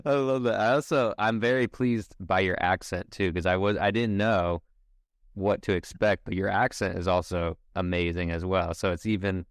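A woman's voice: extremely low pitch, fairly even intonation, normal speed, no room sound, studio quality. A woman hosting a podcast, chatting casually as an agreeable, encouraging listener. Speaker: podcast host, interviewer. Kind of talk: casual chat.

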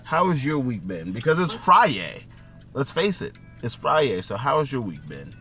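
A man co-hosting a casual podcast from a car, rambling and joking at himself, with his voice going hoarse. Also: medium pitch, sing-song, moderate pace, sharp tone, faint music behind. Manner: rambling, self-mocking, laughs often. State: losing his voice. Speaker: co-host.

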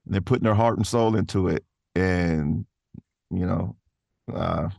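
A man's voice: deep voice, a little slow, gravelly, darkish tone, no room sound, clean studio sound. A man who is an interview guest and voice actor, chatting casually in an earnest, enthusiastic way with laid-back slangy phrasing. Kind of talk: casual conversation.

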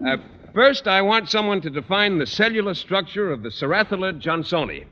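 An earnest, naive biology teacher in a vintage radio comedy. He is courteous, hesitant and slightly pedantic, his fairly high voice rising and falling a lot on a muffled old recording. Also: sing-song, fairly quick, bright, forceful tone, in a large hall, exaggerated voice. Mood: polite lecturing. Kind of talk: 1940s-50s radio sitcom acting.

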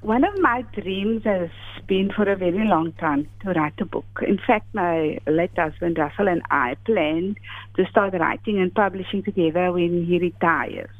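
A woman's voice: lowish voice, normal speed, no echo. Older South African woman on a phone line. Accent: South African English. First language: Afrikaans. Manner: thoughtful, hesitant, many fillers. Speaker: author, interview guest.

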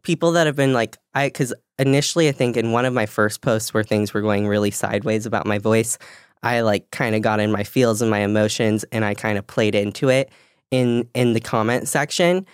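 Young woman, low voice, little lisp, smooth and clear, dry close sound, joking about herself. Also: fairly quick, no echo. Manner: candid, self-deprecating, laughs often. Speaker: podcast co-host. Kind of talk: casual podcast chat.

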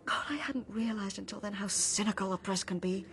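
A woman's voice: medium pitch, steady tone, brisk, lightly acted. A woman playing a reluctant journalist in a radio drama, speaking conversationally and hesitantly with a British accent. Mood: reluctant, sombre. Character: chatty female journalist turned reluctant bearer of grim news.

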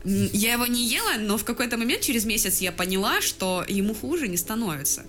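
A woman's voice: on the high side, animated, fast talker, fairly bright tone. A young woman chatting casually as a podcast co-host, laughing often and swearing freely.

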